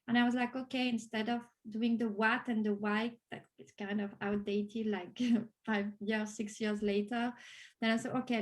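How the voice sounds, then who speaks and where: A woman from France with a French accent, a native French speaker, answering interview questions conversationally. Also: on the high side. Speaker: course graduate being interviewed.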